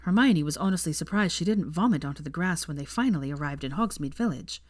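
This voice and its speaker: A woman's voice: low voice, lively intonation, fast talker, dry recording, studio quality. A woman reading a story aloud as scripted audiobook narration. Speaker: fan fiction audiobook narrator.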